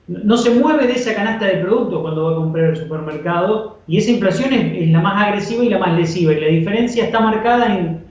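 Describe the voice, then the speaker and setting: A man's voice: sits high, a little mellow. An Argentine man giving economic commentary as an interview guest, explaining things and repeating words as he goes. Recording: echoey, distant, muffled remote link.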